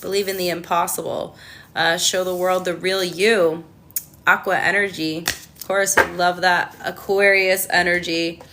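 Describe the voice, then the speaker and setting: A woman's voice: fairly low pitch, little pitch movement, a little slow, noisy background. A Canadian woman doing a casual livestream tarot reading, chatting to viewers and answering their comments with lots of ums. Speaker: tarot reader.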